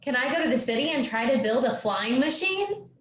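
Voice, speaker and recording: Lady doing an eager innocent kid son in a play reading, highish voice, dull echoey recording. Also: lively intonation, fairly quick. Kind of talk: theatrical staged reading. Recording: roomy, dull, faintly noisy sound.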